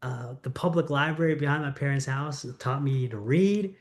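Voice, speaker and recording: A middle-aged man from the United States, a congressional candidate answering interview questions in an earnest, storytelling way. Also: mid-range voice. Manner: earnest, storytelling, quick asides.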